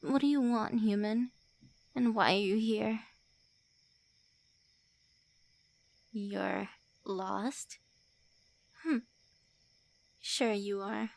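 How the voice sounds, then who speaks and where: A woman voicing a lonely, prickly female vampire who is centuries old, in an ASMR roleplay, often speaking softly or in whispers. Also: mid-range voice, slow, vocal fry, long pauses. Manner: tsundere, sharp then wistful.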